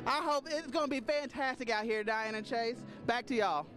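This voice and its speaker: High-voiced man interviewing outdoors. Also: moderate pace. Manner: friendly, chatty. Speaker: TV meteorologist. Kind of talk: live TV interview. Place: outdoors at a festival. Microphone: handheld mic.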